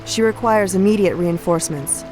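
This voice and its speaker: An actress playing an earnest young night elf messenger in a fantasy game, speaking with formal, deferential urgency. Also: female voice, on the low side, normal speed, music in the background, natural voice. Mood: urgent, respectful. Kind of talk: fantasy game cutscene acting.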